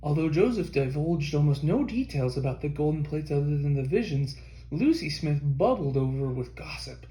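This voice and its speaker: A man's voice: a little high, lively intonation, moderate pace, dark tone. Roomy-sounding man reading history aloud. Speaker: audiobook reader. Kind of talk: scripted narration of a history book.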